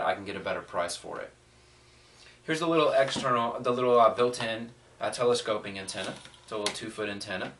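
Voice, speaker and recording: Male product reviewer, middle-pitched, casual and conversational, recorded at a distance with faint noise. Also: pushed and bright. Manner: thinking aloud, conversational.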